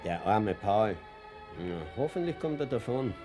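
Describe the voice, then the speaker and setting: A man's voice: on the low side, expressive, unhurried, over music. An actor with an Austrian accent playing an anxious, guilt-ridden middle-aged father and reluctant party member in a radio drama, speaking in a hesitant, colloquial way. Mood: troubled, earnest. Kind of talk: naturalistic radio drama acting.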